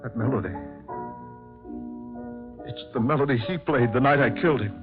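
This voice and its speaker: An actor playing a wealthy, haunted murderer with formal, dramatic diction in a 1940s radio horror melodrama. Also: male voice, mid-range voice, normal speed, natural voice. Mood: haunted, fearful. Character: wealthy haunted male murderer, suave but anxious. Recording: muffled vintage radio recording, music behind.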